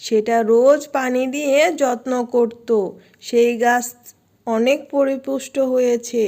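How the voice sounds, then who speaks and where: A woman's voice: fairly high pitch, level tone, slow, mellow. A woman voicing motherly storybook creatures, a fox mother and a magic tree, in a children's cartoon. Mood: chatty.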